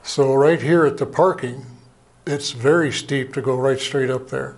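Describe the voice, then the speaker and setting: An older man, an Air Force veteran, giving a plain, halting slide presentation from a lectern in a small museum meeting room, heard from a camera across the room. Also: mid-range voice, fairly even intonation. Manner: plain, unhurried, halting with fillers. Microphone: far camera, speaking at a lectern.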